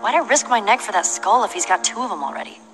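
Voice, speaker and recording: A woman's voice: medium pitch, animated, fast talker, fairly bright tone, faint music behind, lightly acted. An actress playing a tough, principled and suspicious young female adventurer in a video game. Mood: suspicious, tense.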